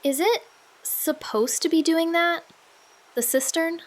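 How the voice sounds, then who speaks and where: A woman playing an anxious, skeptical sidekick sleuth in an audio drama, hesitant and questioning with deadpan humor. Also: extremely high pitch, slow pace. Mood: uneasy.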